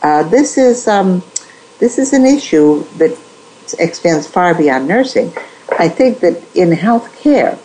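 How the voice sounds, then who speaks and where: A woman in a conversational interview, giving measured advice with frequent restarts. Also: on the low side, warm tone, husky, poor audio. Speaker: guest.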